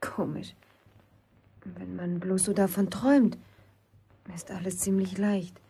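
A young woman playing a pert, impulsive city girl in a German radio drama, frank and questioning, with a husky voice. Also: mid-range voice, a little mellow. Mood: teasing, wistful.

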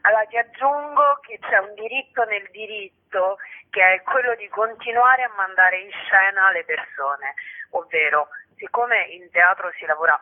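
A woman's voice: medium pitch, normal speed. A woman speaks informally as a radio interview guest, explaining her work as a festival organiser. Her voice comes through a muffled telephone connection with a narrow, lo-fi sound. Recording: muffled narrow phone line.

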